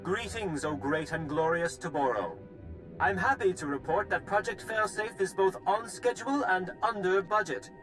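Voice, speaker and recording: An actor voicing a fawning alien engineer in a video game, florid and salesman-like as he flatters his boss. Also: sits high, poor audio. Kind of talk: scripted game acting.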